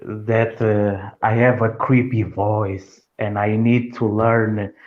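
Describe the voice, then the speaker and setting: Caller, male, low and slow, hesitant, muffled call audio. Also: flat delivery. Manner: hesitant, many uh's, halting English. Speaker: panel caller.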